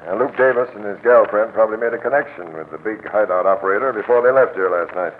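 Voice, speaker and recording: An American man playing a gruff, sardonic police captain in 1940s radio drama style. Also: lowish voice, fast talker, narrow, tinny audio, lightly acted. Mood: matter-of-fact. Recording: thin, hissy old radio recording.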